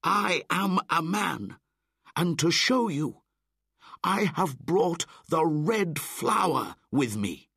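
Storybook wild boy, high and loud, defiant, as a man puts him on; husky, dry sound. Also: very animated, very slow, pauses often, dry recording, full character voice. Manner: loud, high, forceful declaring. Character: young boy raised by wolves, fierce.

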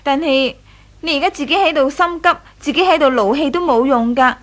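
Actress as high-voiced devoted young woman. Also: fairly quick, lightly acted. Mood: soothing. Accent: Hong Kong Cantonese. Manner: coaxing, tender, earnest. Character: devoted, soothing young woman secretly in love. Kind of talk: 1980s radio drama acting. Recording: 1983 radio drama with music behind.

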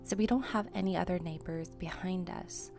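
Calm woman narrating a home tour. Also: fairly low pitch, moderate pace, vocal fry, faint music behind. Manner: calm, even, tidy tour-guide delivery. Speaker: homeowner presenting a house tour. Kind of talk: scripted voice-over narration.

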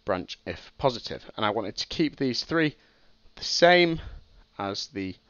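A man's voice: medium pitch, big pitch swings, unhurried, fairly bright tone, clean tone, dry recording, highs cut off. Sniffly young man explaining code. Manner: thinking aloud, many ums and restarts. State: sniffly with a cold. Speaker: streamer teaching game programming. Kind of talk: casual live-stream explanation.

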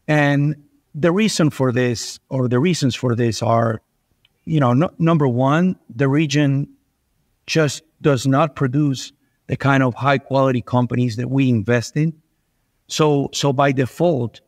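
A man's voice: medium pitch, no room sound, studio quality. A middle-aged man from Venezuela, a guest investor on a podcast, talking things through with frequent restarts and repeated words. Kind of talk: conversational podcast interview.

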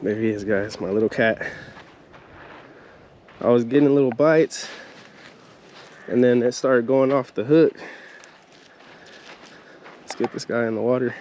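A man's voice: medium pitch, unhurried, pauses often, a little mellow, slight background noise. Laid-back man filming outdoors. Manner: laid-back, talks to viewers as "guys" and "bro". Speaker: fishing vlogger. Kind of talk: casual outdoor commentary. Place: outdoors by an aqueduct.

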